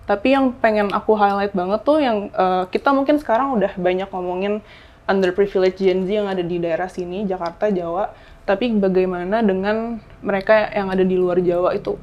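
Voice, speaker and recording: A woman's voice: medium pitch, fairly quick, a little mellow. A young woman journalist talking in a casual podcast chat, thoughtful, often stopping on fillers as she searches for words. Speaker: journalist guest. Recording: slightly dull, lo-fi sound.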